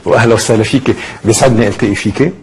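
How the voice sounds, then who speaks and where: A man's voice: on the low side, rapid-fire, a little mellow, slight background noise. A man, a native Arabic speaker, hosting a TV interview and asking pointed, probing questions. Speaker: TV interview host.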